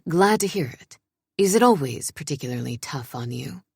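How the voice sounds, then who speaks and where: An actor voicing a commanding, controlled older royal man in audiobook dialogue, measured and authoritative. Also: on the high side, lively intonation, creaky, darkish tone, slightly rough, dry recording, clean studio sound, exaggerated voice. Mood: calm, faintly amused.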